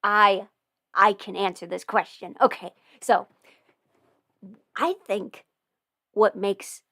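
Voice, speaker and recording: A woman's voice: mid-range voice, steady tone, slow, a bit bright, no room sound. Casting: young adult woman, chatty and rambling, laughs a lot, casual swearing, dry close-mic sound. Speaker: audio performer answering viewer questions. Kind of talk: casual livestream chat.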